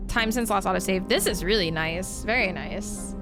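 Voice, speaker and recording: A woman streamer, winded and slightly hoarse from reading aloud, chatting casually with many ums and repeated words. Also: mid-range voice, moderate pace, over music. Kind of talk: casual stream sign-off chat.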